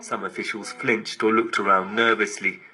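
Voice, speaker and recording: A man's voice: lowish voice, flat delivery, normal speed, background noise. A man delivering a scripted news report as a correspondent, over digital shortwave radio.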